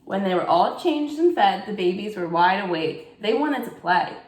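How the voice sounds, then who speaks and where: A woman's voice: mid-range voice, moderate pace. Woman reading aloud in an echoey room. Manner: expressive, asks young listeners questions. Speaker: teacher. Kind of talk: children's bedtime read-aloud.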